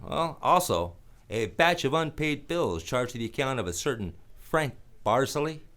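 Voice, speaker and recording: An actor playing a wry, weary hardboiled detective in a radio drama, talking conversationally with some hesitation. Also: male voice, mid-range voice, sing-song, a little slow, fairly bright tone. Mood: casual, persuasive. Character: wry, weary male private detective narrating his case.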